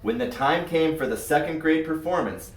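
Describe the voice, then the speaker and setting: A man reading a children's story aloud in an expressive, scripted narrating style. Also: fairly high pitch, moderate pace, a little hiss or hum. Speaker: storybook reader. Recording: roomy echo, far from mic.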